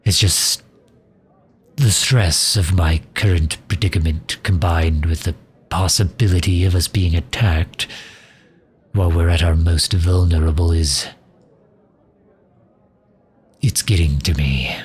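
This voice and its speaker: A man playing a sardonic, loyal fantasy mage advisor in an intimate ASMR roleplay, dry and grumbling, with a husky, breathy delivery. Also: low voice, steady tone, unhurried, heavily acted. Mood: apologetic, strained. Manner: dry, grumbling, sharp-tongued, with hesitant breaks.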